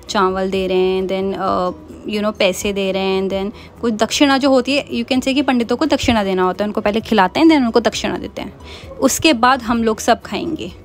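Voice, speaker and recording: A young woman from Uttarakhand, India, chatting casually as she narrates her family vlog. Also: mid-range voice. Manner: chatty, mixes Hindi and English. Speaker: vlogger.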